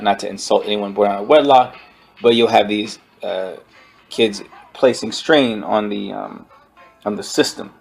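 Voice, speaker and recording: A man giving an informal spoken book review, explaining his points in a halting way over background music. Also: on the low side, gravelly, breathy edge.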